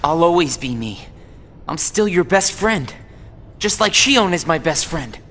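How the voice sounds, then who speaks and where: A man's voice: fairly high pitch, sing-song, unhurried, bright, forceful tone, slight background noise, heavily acted. A man playing an earnest, stubborn and loyal teenage boy in a video game, with an intense, questioning delivery. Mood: hurt, defiant.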